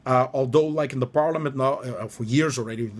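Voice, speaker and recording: A man's voice: medium pitch, a little fast. Aruban man with many fillers and self-corrections. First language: Dutch. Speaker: interviewee. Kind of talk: casual interview answers.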